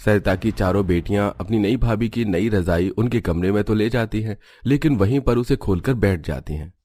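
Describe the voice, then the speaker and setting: A male narrator tells a scripted cartoon family story. His voice is on the low side, recorded dry without room sound. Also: moderate pace, warm tone, dry recording.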